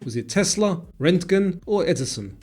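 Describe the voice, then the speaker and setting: Casting: male quiz host, fairly high but soft-edged voice, formal scripted reading with faint music underneath. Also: sing-song, moderate pace, breathy edge.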